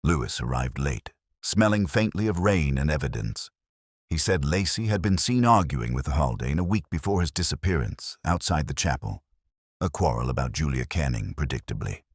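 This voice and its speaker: A man narrating a first-person detective mystery in a brooding, measured, literary manner. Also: very deep voice, lively intonation, breathy edge, no echo, clean studio sound.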